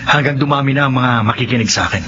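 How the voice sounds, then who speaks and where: A man acting as a risen-from-the-dead Filipino preacher, grave and utterly sure of himself, declaiming in a mid-pitched male voice. It is horror radio drama, dull in sound with clear background noise. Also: quick pace, sharp tone, lightly acted. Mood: zealous, certain. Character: solemn resurrected husband turned self-assured preacher and healer.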